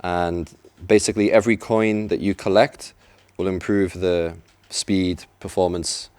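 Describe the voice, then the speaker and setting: A man's voice: sits low, fairly even intonation, a little slow, a little mellow, clean tone, dry recording. A man commentating a live racing video game match, chatty and explaining tactics. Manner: chatty, explanatory, some restarts. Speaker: esports commentator. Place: event venue.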